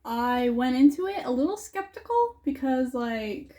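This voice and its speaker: A young woman co-hosting a casual movie review chat, playful and teasing and quick to laugh. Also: sits high, expressive, warm tone. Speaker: co-host of a movie review channel.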